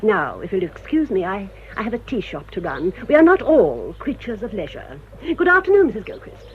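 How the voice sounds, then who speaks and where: An actress playing a sour, haughty older English village spinster in a radio drama, with pointed, formal politeness. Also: female voice, medium pitch, sing-song, brisk, close to an everyday voice. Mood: imperious, cutting. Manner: clipped, pointed, formal politeness with barbed digs. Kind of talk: British radio drama acting. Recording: dull, noisy old radio drama recording.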